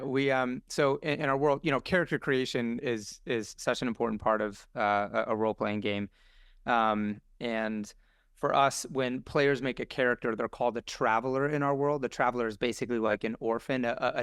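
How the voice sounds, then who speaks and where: A man explaining things casually on a podcast, thoughtful and a bit halting. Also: mid-range voice, a bit bright, smooth, no room sound, studio quality.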